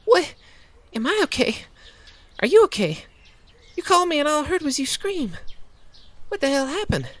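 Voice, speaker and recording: A man voicing a loud, brash young woman who swears freely and talks with strong emphasis, as a storybook reader. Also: very high-pitched, sing-song, normal speed, long pauses, no room sound, voice pushed up, exaggerated voice. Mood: alarmed.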